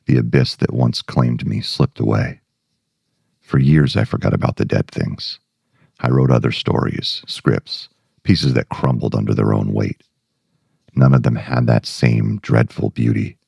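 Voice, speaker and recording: Brooding male writer man acting, obsessed with darkness. Extremely low, husky voice, ominous and deliberate, for a dramatic monologue. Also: fairly even intonation, a little mellow, dry recording, studio quality, exaggerated voice. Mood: wistful, haunted. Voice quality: very deep, gravelly, husky.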